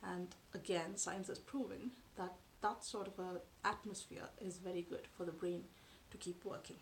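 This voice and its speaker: A woman in her thirties whose first language is Tamil, talking to camera from a sofa in her living room in a measured, thoughtful way with frequent pauses. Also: fairly low pitch, fairly even intonation, slight background noise, poor audio. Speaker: vlogger. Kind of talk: explanatory talk to camera. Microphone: camera in front at close range.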